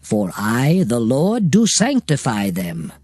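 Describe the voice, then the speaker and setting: A man's voice: medium pitch, huge pitch swings, slow pace, darkish tone, no echo. A man playing a solemn divine lawgiver, reciting sacred law formally in a dramatized scripture reading. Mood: solemn, authoritative. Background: music.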